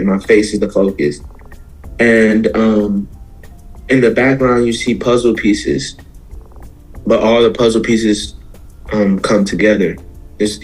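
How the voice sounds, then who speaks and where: An American man from Houston, Texas, giving casual, reflective interview answers as a musician guest, explaining himself in a halting way. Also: on the low side, rough recording. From Houston, Texas, USA.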